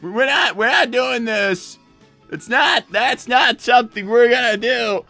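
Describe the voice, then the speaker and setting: A man's voice: extremely high pitch, a little slow, pushed and bright, soft background music, exaggerated voice. A man voicing an anxious, easily flustered young cartoon character who stammers and repeats protests in improvised livestream banter. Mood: flustered, exasperated.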